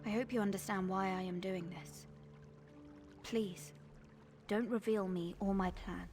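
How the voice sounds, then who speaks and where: An actress playing a gentle, selfless ailing daughter, reading a letter aloud in an earnest, careful way. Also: female voice, mid-range voice, steady tone, a little slow, soft background music, natural voice. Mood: pleading.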